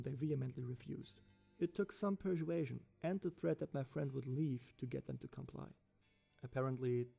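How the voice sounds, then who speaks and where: A man reading a scripted first-person horror story as its narrator, with a gravelly voice. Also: medium pitch, a little mellow.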